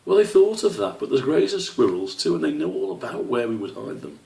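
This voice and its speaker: Man voicing a blunt high-pitched young fox. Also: wildly swooping pitch, brisk, gravelly, mellow, reverberant, far from the mic. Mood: challenging. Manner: blunt and questioning. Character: blunt, challenging young male fox, squirrel's friend.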